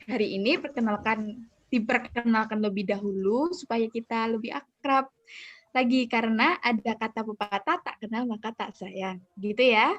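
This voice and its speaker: A woman playing a calm 35-year-old counselor who leads a group session on a video call and reassures the members. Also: fairly high pitch, moderate pace, no room sound. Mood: steady. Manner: gentle, reassuring, with polite fillers. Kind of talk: student counseling roleplay. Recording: dull, dry video-call audio.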